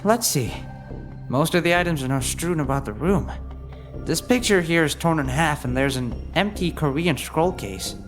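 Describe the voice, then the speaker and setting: A man's voice: on the high side, lively intonation, normal speed, soft background music, natural voice. A man playing an earnest, cautious detective partner with dry sarcasm in a scripted audio drama. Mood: doubtful, trusting. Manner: earnest, questioning, lightly sarcastic.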